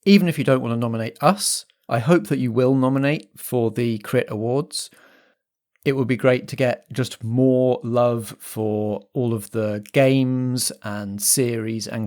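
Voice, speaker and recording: A man's voice: mid-range voice, steady tone, unhurried, a little mellow. A man speaking as a podcast host and game master, delivering a scripted announcement to listeners.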